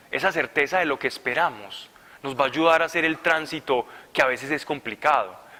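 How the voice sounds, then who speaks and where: Forceful man preaching in an echoey hall. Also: mid-range voice. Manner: animated, conversational, laughing at his own stories. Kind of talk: sermon with storytelling. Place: large hall at a retreat.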